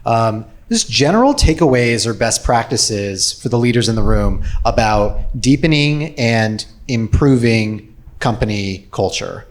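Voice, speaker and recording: A man's voice: medium pitch, animated, measured pace. A male moderator steering a panel in a mid-range voice. He talks in a casual, loose way, with filler words between his prompts.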